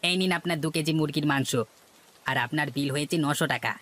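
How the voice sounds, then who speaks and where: A man's voice: fairly high pitch, a little fast, fairly bright tone. A man voicing a deferential market stall helper in a cartoon, hawking fish and meat politely. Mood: polite selling. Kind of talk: Bengali cartoon voice acting.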